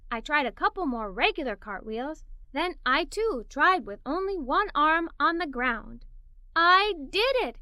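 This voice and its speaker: Woman playing a high, bright, curious little girl. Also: dry recording, exaggerated voice. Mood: excited. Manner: animated, expressive, wide-eyed. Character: curious, excitable young girl narrating her adventures. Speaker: storyteller. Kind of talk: scripted children's story read-aloud.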